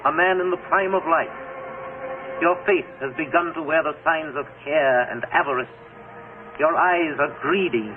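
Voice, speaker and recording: A man's voice: a little high, phone-quality sound, close to an everyday voice. A man as a grave, relentless ghost, old radio. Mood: accusing. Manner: grave, deliberate, accusing. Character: solemn, relentless male ghost spirit showing shadows of the past. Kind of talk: 1930s radio drama acting. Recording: vintage band-limited radio broadcast with music behind.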